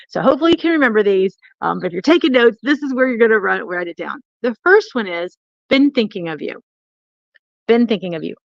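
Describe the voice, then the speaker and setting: A woman's voice: on the high side, dry recording, highs cut off. A woman hosting a live online coaching talk in an upbeat, conversational and emphatic way, speaking from indoors at a home. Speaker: networking coach and livestream host.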